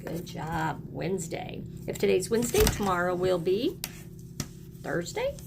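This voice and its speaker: A woman's voice: lowish voice, expressive, a little slow, gravelly, noisy background. A woman teacher leads a read-aloud lesson for young children, encouraging them and prompting them with questions and repeats.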